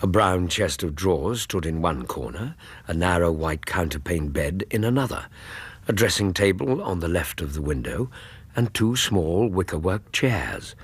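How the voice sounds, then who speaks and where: An actor playing a loyal, observant Victorian gentleman who narrates a radio drama in an English accent, with a measured, literary storytelling manner. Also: deep voice, animated, slightly rough, no room sound, full character voice. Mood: measured, descriptive.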